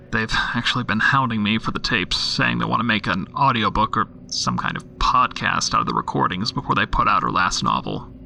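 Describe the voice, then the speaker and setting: A sceptical, irritable homicide detective dictates his case log in an audio drama. His voice is creaky and gravelly, with a tense, forceful edge. He speaks drily and sardonically, with little patience. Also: medium pitch, a little hiss or hum. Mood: wry, weary. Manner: dry, sardonic, deadpan.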